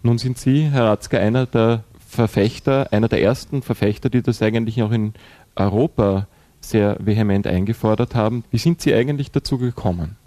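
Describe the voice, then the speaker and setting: Male interviewer, dark mellow voice, formal, dry sound. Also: lowish voice, brisk, dry recording. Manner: polite, formal questioning. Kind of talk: radio interview questions.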